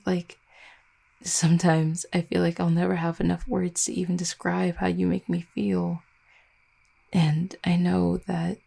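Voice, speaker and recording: A woman playing a tender, anxious young vampire girlfriend in an intimate audio roleplay, soft-spoken and halting, with a breathy, gravelly low voice. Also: exaggerated voice.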